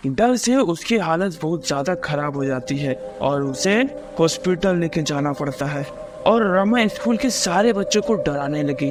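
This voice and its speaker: A man reading a scripted horror story as its narrator. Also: fairly high pitch. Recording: clear background noise and ambience.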